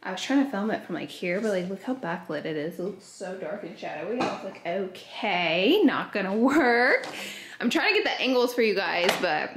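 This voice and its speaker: A woman in her thirties chatting straight to viewers in a bubbly, casual vlog style while moving around a bright home, recorded on a camera mic at a distance. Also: mid-range voice, very noisy. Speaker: lifestyle vlogger. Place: bright open-plan home, living room and kitchen. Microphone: camera mic, filmed at a distance while moving around. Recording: roomy echo from a large room.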